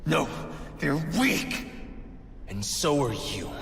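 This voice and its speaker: A young, idealistic villain in a dramatic video game, his voice pitched a little high. He preaches with wounded, bitter passion. The sound rings with long reverb over clear background noise. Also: very animated, takes it slowly, exaggerated voice. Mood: indignant. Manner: preachy, impassioned monologuing. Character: idealistic, embittered young male anarchist villain, ranting and wounded. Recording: noisy game capture with long echoing reverb.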